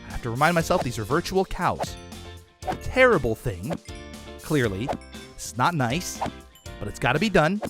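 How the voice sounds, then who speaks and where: A man's voice: fairly high pitch, sing-song, normal speed, long pauses, over music. A man making gaming videos, giving casual commentary as he plays.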